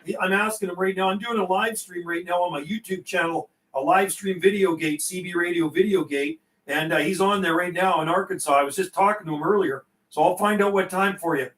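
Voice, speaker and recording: A man's voice: high-pitched, a little fast, hardly any pauses, fairly bright tone, echoey room, recorded from a distance, rough recording. A middle-aged man chatting casually over CB radio on a livestream, folksy and given to repeating himself. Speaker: CB radio operator and livestream host.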